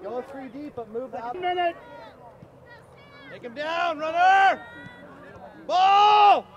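A man's voice: very high-pitched, lively intonation, slow pace, lo-fi sound. A man calling out short instructions to players from the sideline of an outdoor field. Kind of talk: shouted sideline calls. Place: outdoors beside a sports field at night. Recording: outdoor field, heavy crowd and noise.

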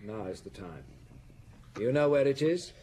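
An actor playing a dissolute aristocratic heir in a radio drama, languid, dry and lordly. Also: male voice, mid-range voice, big pitch swings, moderate pace, warm tone, a little hiss or hum, natural voice. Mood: sardonic, languid. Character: dissolute aristocratic male heir, a cool, sardonic count. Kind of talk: BBC radio drama acting.

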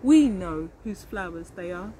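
A woman's voice: on the low side, animated, unhurried, dark tone, a little hiss or hum, rough recording. A middle-aged woman reading aloud expressively as a teacher of young children, speaking to them directly. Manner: addresses children directly, asks them questions. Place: outdoors in a leafy garden.

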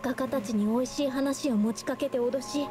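An actress voicing a timid yet principled young female painter in an anime-style game, polite, soft-spoken and earnest. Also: high-pitched, moderate pace, lightly acted. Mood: firm, indignant. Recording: game music behind.

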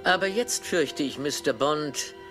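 An actor playing a fussy, self-important assassin doctor, pedantic and politely menacing, in a dubbed film. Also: male voice, fairly high pitch, unhurried, natural voice. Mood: smug, boastful. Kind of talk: German-dubbed film acting. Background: film score music.

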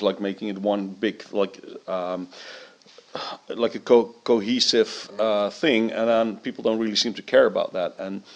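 Upbeat band member, laughs easily. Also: male voice, lowish voice. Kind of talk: casual interview answers. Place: festival backstage room, indoors.

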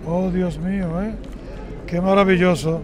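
A man's voice: high voice, fairly even intonation, unhurried. A man with a Dominican accent interviewing people in a busy city plaza, asking short, amazed questions. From Dominican Republic. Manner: curious, amazed, asks many short questions. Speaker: street interviewer. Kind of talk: casual street interview. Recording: busy outdoor crowd noise. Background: crowd chatter and traffic.

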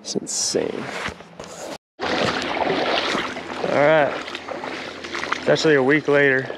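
A young man filming himself fishing on a riverbank with a head-mounted camera, chatting casually and getting excited over catches. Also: on the high side, very slow, background noise. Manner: excitable, swears when fish strike. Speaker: fishing vlogger. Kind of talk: casual running commentary while fishing. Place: outdoors on a riverbank. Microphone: head-mounted action camera. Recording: music playing under the voice.

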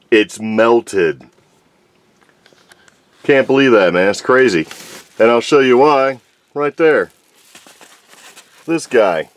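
A man in his forties from New Mexico, talking casually to camera from inside a parked van, excitable and exasperated. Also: fairly high pitch. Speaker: vlogger. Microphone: camera mounted in the car.

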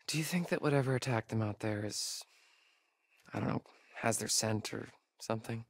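An actor playing a sarcastic young American camp counselor in a horror video game, hesitant and conversational in delivery. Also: male voice, medium pitch, moderate pace, vocal fry, slightly rough, no room sound, clean studio sound. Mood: worried. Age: young adult. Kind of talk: naturalistic horror game dialogue.

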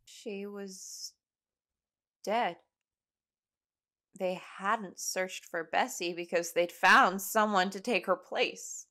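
A woman playing a shaken but determined young woman, telling grim events in an audio drama with halting, deliberate delivery. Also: medium pitch, steady tone, pushed and bright. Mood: grave, haunted. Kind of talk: audio drama narration and dialogue.

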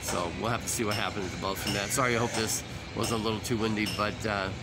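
A man's voice: fairly low pitch, steady tone, fast, over loud music. A man in his seventies giving a casual, unscripted fishing report to camera outdoors, with a folksy, rambling manner that repeats words. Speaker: fishing charter operator giving a weekly report. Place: outdoors at a marina storefront.

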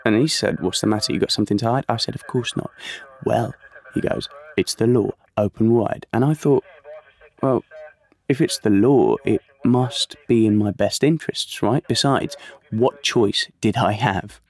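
A naive lovesick british lad, low creaky voice, chatty and confiding like he's telling you the whole story. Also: moderate pace, poor audio. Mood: confiding, indignant. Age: young adult. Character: naive, lovesick, cheeky young British man. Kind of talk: audio play monologue and dialogue.

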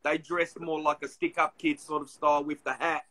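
A man hosts a relaxed interview from a small room, talking into his phone. His voice sits a touch higher than most men's, and he circles around his questions with many restarts. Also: normal speed, crowd noise. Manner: laid-back, rambling questions with many restarts. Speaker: host. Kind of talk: casual interview on a live video call. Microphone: phone front camera.

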